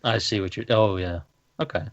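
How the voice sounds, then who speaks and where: A man's voice: lowish voice, moderate pace. A man co-hosting a podcast, talking casually in a discussion. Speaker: podcast co-host. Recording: dull lo-fi voice mic.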